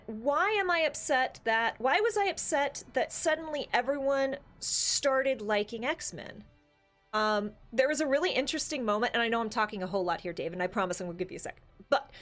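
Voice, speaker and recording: A woman's voice: sits high, a bit bright. A woman co-hosting a casual, opinionated discussion, talking at length emphatically with rhetorical questions. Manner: talkative, emphatic, builds long explanations with rhetorical questions. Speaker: podcast co-host.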